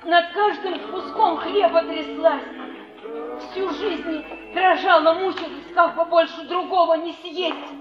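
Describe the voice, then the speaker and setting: High-pitched woman, downtrodden and dying, slow lament, muffled old theatre recording, music behind. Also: steady tone, echoey room, far from the mic. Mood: weary lament. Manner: plaintive, slow lamenting delivery. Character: dying, downtrodden poor woman, weary and frightened. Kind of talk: mid-century theatrical stage acting.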